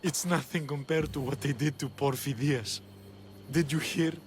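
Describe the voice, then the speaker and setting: An actor playing a weary, frightened traveler of ancient Greece in a video game, earnest and grateful. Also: male voice, on the high side, fairly even intonation, normal speed, slight background noise, natural voice. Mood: relieved, grieving. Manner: earnest, pleading. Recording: music behind the voice.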